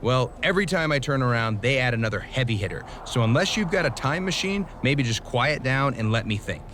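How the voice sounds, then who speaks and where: A man acting as a weary, serious pro wrestler in a video game cutscene. His mid-pitched voice is dry, measured and exasperated. Clear ambient noise sits behind him. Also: expressive, a bit bright, lightly acted. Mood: weary, irritated.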